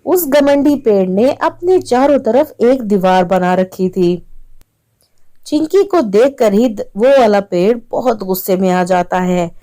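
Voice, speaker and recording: A woman's voice: mid-range voice, animated, takes it slowly, dark tone. A woman narrator reading a scripted story for children.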